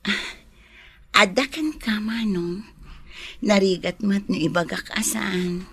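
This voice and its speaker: Soft-hearted lady boss, ilocano accent, radio drama, breathy mid voice, kind but firm. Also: medium pitch, steady tone, slow pace, full character voice. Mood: questioning, polite.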